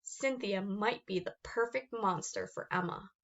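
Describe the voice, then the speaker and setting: A woman reading a children's picture book aloud with expressive narration. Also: medium pitch, measured pace, dull audio. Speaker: storybook reader.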